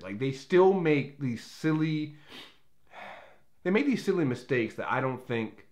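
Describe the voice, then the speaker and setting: Guy doing an off the cuff movie review, chatty and opinionated, keeps backing up and rewording stuff. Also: mid-range voice. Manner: rambling, opinionated, conversational, often backtracking. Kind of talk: casual unscripted review commentary.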